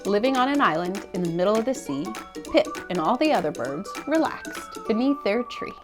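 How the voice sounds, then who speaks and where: A woman reading a rhyming children's storybook aloud as a read-aloud host, with expressive, deliberate phrasing. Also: mid-range voice, over music.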